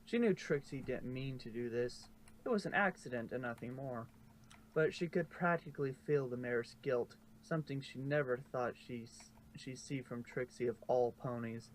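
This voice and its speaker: A man's voice: mid-range voice, normal speed, a little hiss or hum, rough recording. A man narrating fan fiction as an amateur story reader.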